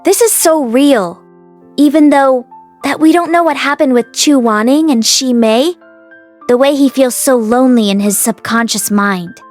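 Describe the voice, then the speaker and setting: A woman's voice: sits high, unhurried, faint music behind. A woman narrator reading fiction aloud expressively as an audiobook host, close to the microphone.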